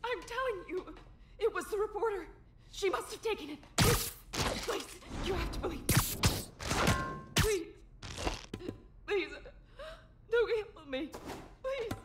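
A man's voice: very high voice, expressive, slow pace, pauses often, over loud music, voice pushed up, full character voice. An actor playing a frightened man begging for his life in a video game cutscene. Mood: pleading. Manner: pleading, panicked. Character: terrified male auction house worker begging. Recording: distant, roomy, with loud game noise.

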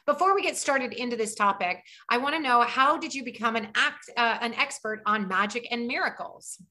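A woman's voice: a little high, moderate pace, sharp tone. A middle-aged woman hosting an interview over a video call, bubbly and enthusiastic as she welcomes her guest. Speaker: host.

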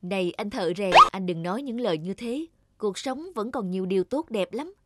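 An actress playing a mysterious, solemn foster mother in an animated fairy tale, speaking in a measured, grave way. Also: mid-range voice, heavy background noise, lightly acted. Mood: persuasive.

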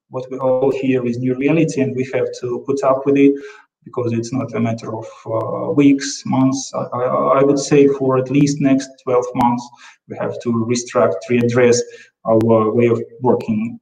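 Halting man on a roomy video call. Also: medium pitch, a little mellow, distant mic. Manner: halting, many fillers and repeated words. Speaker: corporate counsel. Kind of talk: panel talk on a video call.

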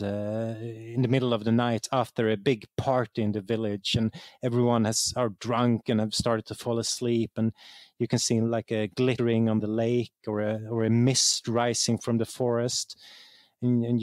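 A man's voice: mid-range voice, flat delivery, moderate pace, darkish tone, no echo, clean studio sound. A man with a Swedish accent explaining things conversationally as an interview guest, repeating words as he searches for phrasing. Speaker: interview guest, game writer.

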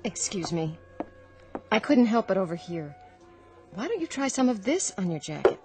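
A woman's voice: medium pitch, big pitch swings, moderate pace, warm tone, natural voice. An actress playing a polite, helpful young woman in a radio drama, prim and precise. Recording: radio play with music underneath.

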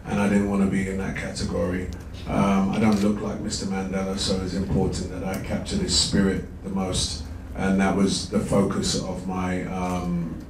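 A man speaking as a lead actor at a press conference, measured and reflective, with many fillers, holding a handheld mic. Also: low-pitched. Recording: roomy, from a distance, noisy room.

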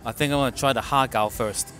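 A middle-aged man reviewing food in a casual vlog from a restaurant booth, making wry, deadpan remarks into a close mic. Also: medium pitch, quick pace, faint music behind, a faint crowd. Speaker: food vlogger. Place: dim restaurant booth, indoors.

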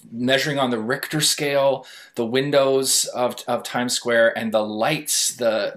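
A man's voice: medium pitch, a bit bright. Emphatic, reflective man on a panel. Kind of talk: reflective commentary in a panel discussion. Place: indoor room, on a video call. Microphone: video call microphone.

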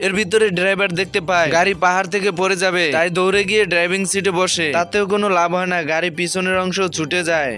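A man's voice: high voice, flat delivery, fast, few pauses, music in the background. A man narrating a scripted comic recap of a cartoon.

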